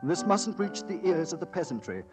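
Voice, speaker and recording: Solemn, theatrical occultist, music behind. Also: male voice, high-pitched, sing-song. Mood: solemn, fervent. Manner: dramatic, deliberate, self-important. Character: small stooped occultist, solemn, theatrical crusader against evil. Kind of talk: 1940s radio drama acting.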